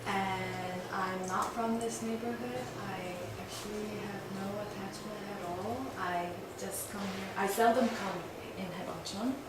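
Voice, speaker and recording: A woman's voice: mid-range voice, fairly even intonation, a little slow, few pauses, far from the mic. A woman introducing herself in a casual group talk, candid and reflective with a few fillers. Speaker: meetup participant. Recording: echoey room, voice from afar, background noise.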